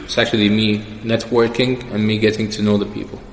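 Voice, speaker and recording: A man's voice: fairly low pitch, little pitch movement, fairly quick. A young man answering questions as the guest in a casual personal interview. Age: young adult. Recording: echoey reverberant room with background noise.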